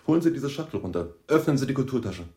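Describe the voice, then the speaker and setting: A man's voice: mid-range voice, big pitch swings, quick pace, dark tone, slight background noise, lightly acted. An actor in a German radio drama playing a dogged male police detective, probing, ironic and mock-courteous.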